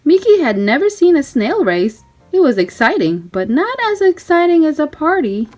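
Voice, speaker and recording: A woman reading a children's story aloud in an animated, emphatic, child-directed way. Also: high-pitched, moderate pace, faint music behind. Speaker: storybook reader. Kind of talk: expressive children's read-aloud narration.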